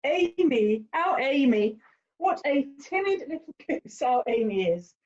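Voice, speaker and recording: Dull recording, woman acting haughty young lady, period drama, highish voice, very lively intonation, condescending, emphatic, theatrical. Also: measured pace. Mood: teasing. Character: haughty, worldly young English lady, teasing older sister. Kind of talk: stage-style period drama dialogue.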